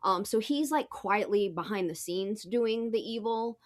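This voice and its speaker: A woman's voice: medium pitch, a little slow. A woman with an American accent in casual political podcast chat, sweary and sardonic. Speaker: podcast panelist.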